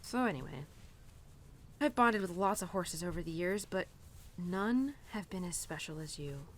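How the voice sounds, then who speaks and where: A woman as a rambling, lowish-voiced young cowgirl. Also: animated, normal speed, slight background noise, close to an everyday voice. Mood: fond, wistful. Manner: rambling, hesitant, giggly, with stammers and restarts. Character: earnest, rambling young cowgirl confiding in her horse. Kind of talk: intimate roleplay audio, spoken to the listener.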